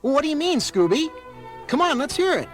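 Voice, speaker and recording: A man's voice: very high voice, sing-song, normal speed, soft background music. An actor playing an earnest, decisive young male leader of a mystery gang in a children's cartoon audio drama. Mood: take-charge. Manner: decisive, urgent, clearly projected. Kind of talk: 1970s children's record cartoon acting. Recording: noisy old vinyl album transfer.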